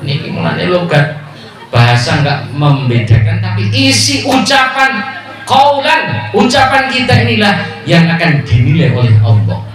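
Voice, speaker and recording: A man with a Javanese accent preaching a sermon at a village religious gathering, mixing jokes with loud calls and low asides. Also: expressive, a little slow, faint music behind. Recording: echoey PA sound from far off.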